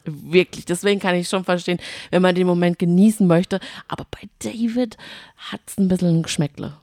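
Chatty woman, slightly low voice, close and dry. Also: steady tone, brisk, warm tone, no room sound. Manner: chatty, teasing. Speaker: podcast co-host. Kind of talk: casual podcast chat.

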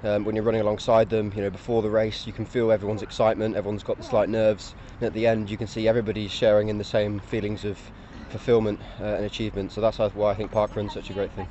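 Fast-talking young man, dull audio. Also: on the low side, flat delivery, dark tone, slight background noise. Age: 20s. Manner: enthusiastic, articulate. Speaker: parkrun runner interviewee. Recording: dull lo-fi sound.

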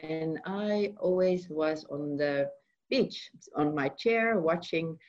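A woman from the Netherlands with a Dutch accent, sharing her personal story as a radio guest in a soft, earnest and hesitant way. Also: sits low, lively intonation, slow pace. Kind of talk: personal testimony in a radio interview. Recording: muffled lo-fi radio sound.

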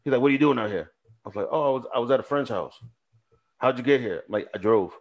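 A man telling a story in casual podcast chat, animated and swearing freely. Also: medium pitch, quick pace. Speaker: podcast co-host.

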